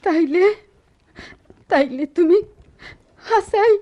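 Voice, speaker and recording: An actress playing a distraught, loyal village wife in a radio drama, tearful and insistent, with a rural Bangladeshi accent. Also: female voice, very high-pitched, animated, slow pace, darkish tone, heavily acted. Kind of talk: Bengali radio play acting.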